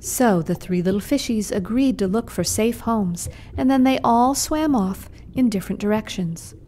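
A woman narrates a children's puppet show as storyteller. Her mid-pitched voice is measured and expressive, with faint background noise.

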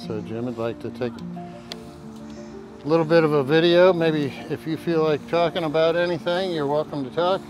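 A man's voice: fairly high pitch, moderate pace. A man chatting informally and informatively outdoors as a host and guide. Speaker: host and guide at a historic house. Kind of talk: casual informative chat. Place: outdoors on a lawn. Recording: background music over voice.

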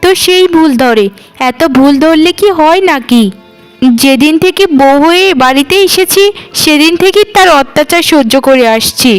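An exasperated young bride from a family cartoon voiced by a woman. Her voice is notably high and her manner is full of complaint. Faint music sits behind her. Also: normal speed, lightly acted, rough recording. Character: fed-up youngest sister-in-law, newly married young wife. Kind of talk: scripted cartoon dialogue.

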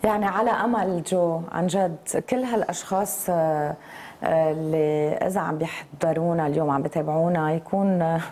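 A woman's voice: low voice, fairly quick, a little mellow. A woman in her forties with a Lebanese Arabic accent, hosting a conversational television interview. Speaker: TV host. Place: TV studio.

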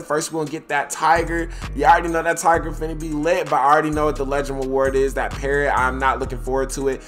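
A young man talks straight to his viewers, hyped and full of slang, his voice set a little high. His nose is stuffed up. Game music plays faintly behind him. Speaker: gaming YouTuber. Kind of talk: gameplay commentary.

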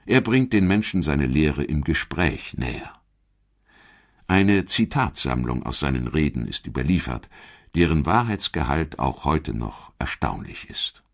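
A man narrating a scripted documentary in a measured, emphatic storytelling manner. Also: very deep voice, lively intonation, vocal fry.

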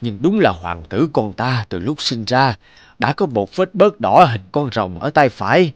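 An actor voicing an elderly, ailing king, regal and doting, in an animated fairy tale. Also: medium pitch, a little slow, no echo, lo-fi sound. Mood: moved, commanding.

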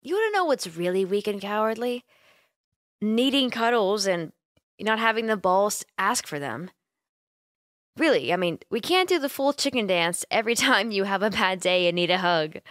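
A young woman voicing an affectionate, teasing, sleepy roommate in a gentle, intimate ASMR comfort roleplay, close to the microphone. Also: mid-range voice, very animated, moderate pace, dry recording. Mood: teasing, reassuring. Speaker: roleplay voice actor. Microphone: close microphone, dry.

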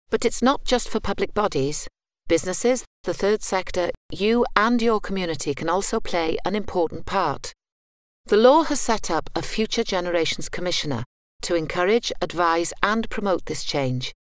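Calm woman narrating a public explainer. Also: on the low side, smooth, dry recording, clean studio sound. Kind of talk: scripted public information narration.

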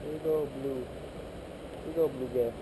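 Squeamish male fishing buddy, unhurried speech, slightly high voice, action-cam audio with running creek noise. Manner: excitable, squeamish, quick to laugh. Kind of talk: casual chat while fishing. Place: outdoors by a creek. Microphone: action camera.

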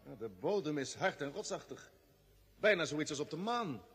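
Lively, bright-voiced space expedition leader. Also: male voice, a little high, normal speed, slightly rough, exaggerated voice. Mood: observing intently. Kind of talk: vintage Dutch radio drama acting. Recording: old radio recording, long hollow reverb.

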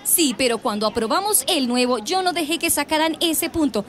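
An actress playing a stern, bossy parents' association president with a Colombian accent, scornful and confrontational. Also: female voice, high voice, lively intonation, normal speed, fairly bright tone, slight background noise. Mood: defiant. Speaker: radio drama actress. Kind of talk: radio drama acting.

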